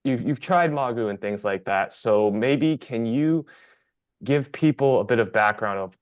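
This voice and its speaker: A man's voice: mid-range voice, a little slow, no room sound, dull audio. A man interviewing a guest, earnest and affirming, often repeating words as he speaks. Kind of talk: supportive interview conversation.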